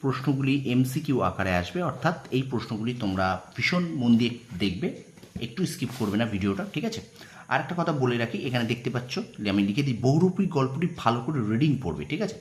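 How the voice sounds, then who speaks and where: A male teacher with a mid-pitched voice that rises and falls in lively swings. He speaks in an instructive, emphatic classroom way, repeating key points and adding short check-in questions. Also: fast, a little hiss or hum. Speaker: teacher running an exam-prep channel. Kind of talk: informal exam lesson, reading out questions and answers.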